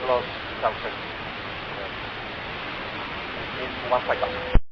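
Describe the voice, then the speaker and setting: A man speaking as a pilot in halting, hesitant phrases over a radio transmission. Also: medium pitch, steady tone. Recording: muffled, noisy cockpit radio transmission.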